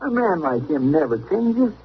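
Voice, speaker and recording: An actor playing an anxious, folksy Western deputy with a twangy American drawl, pleading and deferential in old-time radio style. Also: male voice, a little high, big pitch swings, normal speed, slightly rough, full character voice. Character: anxious, folksy, easily flustered frontier deputy, elder brother. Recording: noisy vintage radio recording, narrow band.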